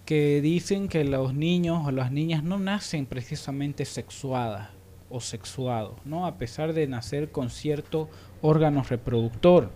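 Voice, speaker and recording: A man's voice: fairly high pitch, darkish tone. A man hosting an unscripted radio panel, playful and probing, repeating words for emphasis. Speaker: radio talk show host.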